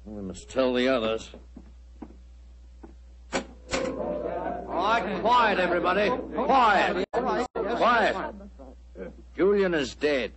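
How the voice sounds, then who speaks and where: A man's voice: high-pitched, very animated, drawn-out words, long pauses, crowd noise, exaggerated voice, low-quality recording. An actor playing a suspicious, commanding, menacing man running a secret club in a 1940s radio drama. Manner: curt, commanding. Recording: vintage radio broadcast recording.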